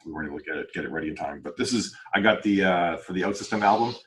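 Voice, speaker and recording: A man's voice: deep voice, very fast. A man chatting casually and informally on a podcast as an independent author and host, using slangy, chatty wording. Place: video call group podcast.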